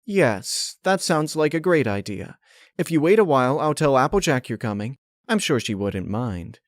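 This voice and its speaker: A man's voice: mid-range voice, very animated, moderate pace, no room sound, studio quality, pitched above the performer's own voice, natural voice. A man voicing a sweet, apologetic young female pony in an earnest, hesitant way for a story reading. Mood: pleased, polite.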